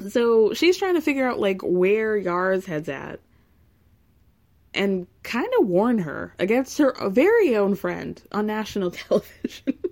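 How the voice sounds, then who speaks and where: A woman's voice: medium pitch, sing-song, a little slow, a little mellow. Chatty woman recapping a show. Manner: gossipy, with frequent laughs and filler words. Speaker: podcast host recapping a reality show.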